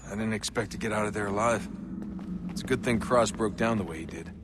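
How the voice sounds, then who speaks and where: An actor playing an earnest, slightly uneasy young assassin in a video game, speaking in a casual, understated way. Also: male voice, on the low side, fairly quick, background noise, natural voice. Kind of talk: video game cutscene acting.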